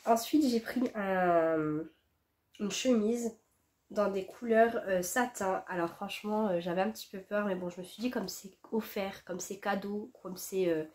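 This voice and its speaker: A young woman speaks with the stuffy, congested voice of a head cold. She talks casually to her camera at home, rambling and restarting with lots of fillers at an ordinary middle pitch. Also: a little slow, darkish tone. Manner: chatty, many fillers and restarts. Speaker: fashion vlogger. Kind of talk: casual haul chat to camera. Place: at home, indoors.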